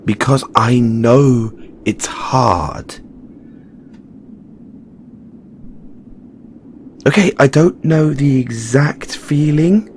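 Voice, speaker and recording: A man's voice: mid-range voice, darkish tone, a little hiss or hum, close to an everyday voice. A man playing a frank, caring Christmas elf in an audio roleplay, earnest and informal, chiding yet reassuring. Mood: sympathetic. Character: frank, caring male Christmas elf, weary but supportive. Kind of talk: second-person audio roleplay monologue.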